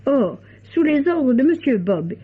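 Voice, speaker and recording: A woman's voice: high voice, big pitch swings, measured pace. A woman reading a scripted book review for radio in a precise, formal way. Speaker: book critic. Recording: tinny old radio recording.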